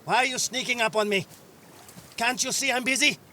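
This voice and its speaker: Eccentric seafarer, very high sharp voice, excitable, theatrical grumbler, game drama. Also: male voice, fairly quick, faint music behind, heavily acted. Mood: indignant. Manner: theatrical, aggrieved. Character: excitable, grumbling seafarer devoted to sea god. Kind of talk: cinematic game dialogue.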